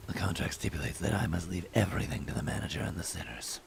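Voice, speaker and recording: Stern, aloof guide for a game, played by a man: very low, gravel-heavy, measured, over clear noise. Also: full character voice. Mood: guarded. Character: stern, guarded male tour guide bound by contract.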